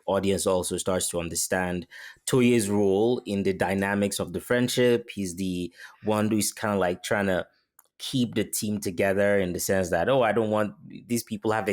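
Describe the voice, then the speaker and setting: A man's voice: fairly low pitch, moderate pace. A man who works as a voice actor, talking casually and enthusiastically as an interview guest.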